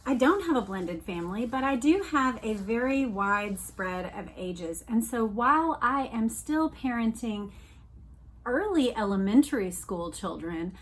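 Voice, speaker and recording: Middle aged lady podcast host, voice a bit high, thoughtful read-along style, measured and deliberate. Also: expressive, low-quality recording. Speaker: podcast host reading a book aloud and commenting. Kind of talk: reflective solo podcast talk mixed with read-aloud passages.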